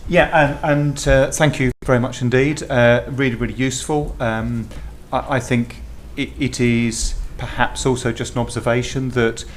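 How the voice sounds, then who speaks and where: Halting, monotone man at a board meeting. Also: medium pitch, slight background noise. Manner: measured, halting, many restarts. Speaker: health board executive. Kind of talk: formal meeting remarks.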